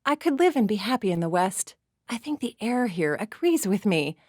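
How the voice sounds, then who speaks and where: A woman voicing a poised young society woman who speaks in a sweet, deliberate, cultured way. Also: mid-range voice, very animated, no room sound, studio quality, pitched above the performer's own voice.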